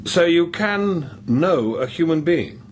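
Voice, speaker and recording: An actor playing a thoughtful, cautious priest in a radio drama, asking gently probing questions in a hesitant way. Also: male voice, fairly high pitch, expressive, takes it slowly. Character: thoughtful, cautious male priest interviewing an artificial intelligence. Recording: clear background noise.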